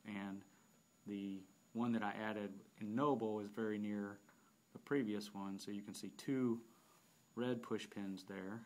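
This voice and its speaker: Low-pitched man giving an app tutorial. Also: steady tone, unhurried. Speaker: software demonstrator. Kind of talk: unscripted app tutorial narration.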